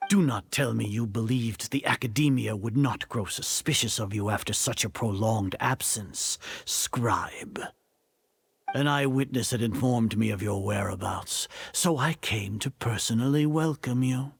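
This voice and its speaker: An actor playing a haughty, menacing older grand sage in a video game, formal, pompous and condescending. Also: male voice, mid-range voice, unhurried, vocal fry, slight background noise. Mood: smug. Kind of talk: anime-style video game villain acting.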